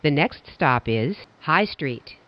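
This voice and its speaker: A subway announcer delivers formal, scripted transit announcements in clipped stock phrases. The pace is slow, yet the pitch moves in lively swings. The audio is slightly dull and lo-fi.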